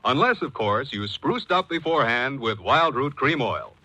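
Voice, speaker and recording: Animated, bright-voiced American male radio announcer. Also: mid-range voice, normal speed, no echo, low-quality recording. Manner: polished, emphatic salesman delivery. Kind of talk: 1940s radio ad and credits announcing.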